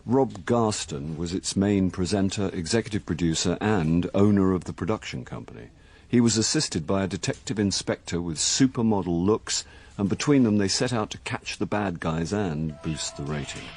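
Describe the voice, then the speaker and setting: An actor playing a roguish, hard-drinking, middle-aged British actor-sleuth in a radio comedy mystery, wry and cheeky. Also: male voice, low-pitched, moderate pace, darkish tone. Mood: dry narration. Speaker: actor playing the lead and narrator. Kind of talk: radio comedy-mystery drama acting, with dry first-person narration.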